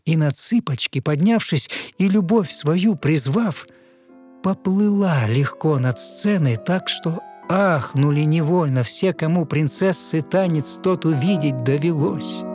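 A man narrating a fairy tale in verse, with a lyrical, rhythmic and expressive scripted delivery. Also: on the high side, a little slow, faint music behind.

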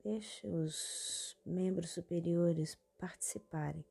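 A woman with a Brazilian accent hosting a guided meditation, giving calm instructions in short phrases with long pauses between them. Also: fairly low pitch, vocal fry, husky. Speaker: guided meditation podcast host. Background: meditation music.

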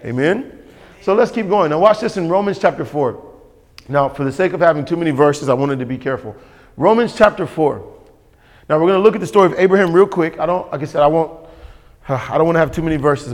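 A man preaching a sermon as pastor, emphatic and repetitive, calling for responses from the congregation. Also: fairly high pitch. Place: church sanctuary. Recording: long hall reverb.

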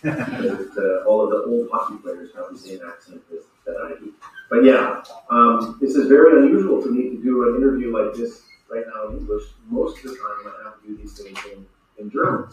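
Male referee guest, halting delivery with fillers; distant, very reverberant call audio. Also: fairly low pitch, a little hiss or hum. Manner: hesitant, many fillers and restarts. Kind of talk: casual interview answers.

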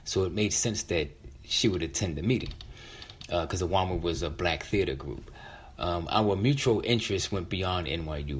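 A man's voice: extremely low pitch, a bit bright, breathy edge. A middle-aged man telling a personal story in a casual, conversational way as a storyteller.

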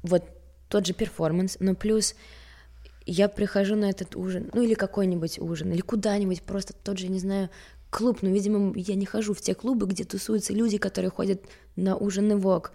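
A woman's voice: mid-range voice, steady tone, fairly quick, creaky, warm tone. A young woman from Sweden, a model and interview guest, talking candidly and self-mockingly in a casual rambling way. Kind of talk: casual chat.

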